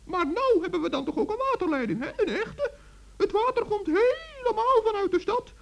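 A man playing a small, excitable old forest gnome in a children's radio play, speaking eagerly and earnestly with little stammers. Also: extremely high pitch, moderate pace, vocal fry, dull audio, full character voice. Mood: proud, eager. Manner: eager, stammering, gently scolding.

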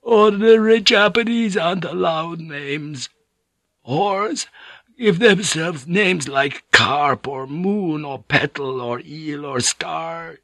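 Brief: male narrator voicing a feverish old friar, breathless ranting, bright tense tone, slow, dry close sound. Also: a little high, no room sound, pitched above the performer's own voice, full character voice. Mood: drowsy.

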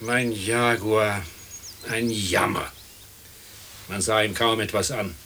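An actor playing a sour, lamenting dead barrister in a radio play. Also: male voice, lowish voice, fairly even intonation, takes it slowly, pushed and bright, slight background noise. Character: sour dead barrister mourning his wrecked Jaguar. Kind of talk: German radio play acting.

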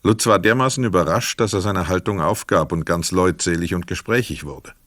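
A man narrating a literary crime radio play as a sober storyteller, measured, precise and dramatic. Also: sits low, fairly bright tone, no echo, studio quality.

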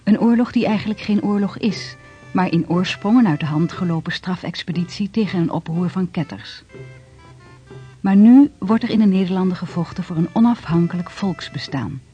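A man narrating a historical radio drama in a solemn, measured way. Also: high-pitched, dark tone. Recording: dull lo-fi radio recording with music.

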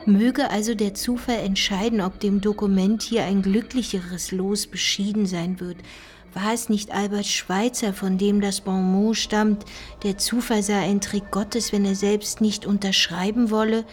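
Actress as sober female investigator, radio drama, mid-pitched, precise and sceptical. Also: steady tone, a little slow, lightly acted. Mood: sober, measured. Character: sober, sceptical woman investigator reading out secret files. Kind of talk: radio play dialogue and document reading.

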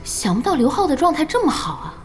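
An outspoken young woman in a radio drama. Her fairly high voice is animated and inquisitive, direct in its questions, with faint music behind. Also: fast. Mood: curious. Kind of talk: Chinese radio drama acting.

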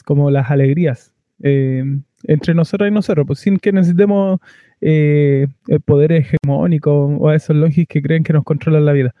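A Chilean man giving thoughtful, halting answers as an interviewee. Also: on the high side, dry recording. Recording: muffled, lo-fi dry recording.

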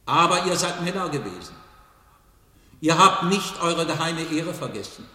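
A man's voice: fairly high pitch, lively intonation, normal speed, pushed and bright. An actor playing a majestic, godlike man, speaking in a solemn, declamatory way in a theatrical radio play. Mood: impassioned. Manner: solemn, declamatory, rhetorical repetition. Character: godlike, majestic man addressing his old comrades. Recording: echoey hall with long reverb, distant mic.

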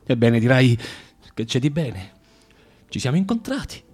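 An actor playing a bitter, self-loathing drinker who moralizes haltingly and insistently in a radio drama. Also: male voice, mid-range voice, very animated, darkish tone, slightly rough. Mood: pleading, moralizing. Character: bitter, preachy, self-loathing male drinker lecturing a young prostitute.

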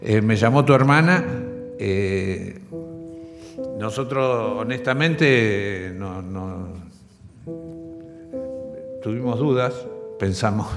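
A middle-aged man with an Argentine accent recalls events in a halting way, repeating phrases. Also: on the low side. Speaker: interviewee, relative of a disappeared woman. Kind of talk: spontaneous recollection. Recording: music bed under interview. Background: music.

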